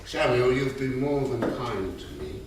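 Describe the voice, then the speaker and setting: A man's voice: medium pitch, big pitch swings, natural voice. Man acting elderly fond grandfather, echoing and distant. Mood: resigned, grave. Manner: unhurried storytelling with hesitant pauses and endearments. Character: elderly grandfather, raconteur former gambler, fond and wistful. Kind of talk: staged script reading. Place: theatre stage. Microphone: distant room mic. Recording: roomy echoing stage recording, distant mic, hiss.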